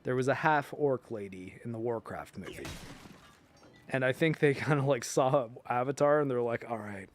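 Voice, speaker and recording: A man's voice: medium pitch, normal speed, slight background noise. A man hosting a livestream, chatting casually in a rambling, joking way and sometimes trailing off into muttered asides. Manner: animated, joking, often breaking into chuckles. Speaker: livestream host. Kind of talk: casual rambling chat to stream viewers.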